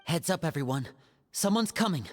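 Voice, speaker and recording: An actress playing a calm, level-headed and protective young traveler in a video game. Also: female voice, fairly low pitch, normal speed, close to an everyday voice. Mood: alert, wary. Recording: reverberant game audio over music.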